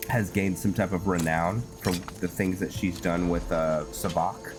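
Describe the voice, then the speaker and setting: A man giving casual game commentary, musing and halting as he thinks aloud to himself. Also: sits low, fairly even intonation, music in the background. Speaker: gameplay host narrating a roleplay playthrough.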